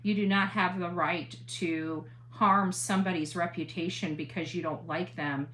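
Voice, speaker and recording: A woman speaking earnestly to an audience as a commentator, halting and emphatic, repeating phrases to press her point. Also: fairly low pitch, steady tone, fairly bright tone, a little hiss or hum, low-quality recording. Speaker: interviewee, online true crime commentator.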